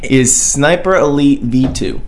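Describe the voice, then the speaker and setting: A man's voice: medium pitch, a little slow. A man hosting a podcast, chatting casually about video games. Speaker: podcast host. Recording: noticeable background noise.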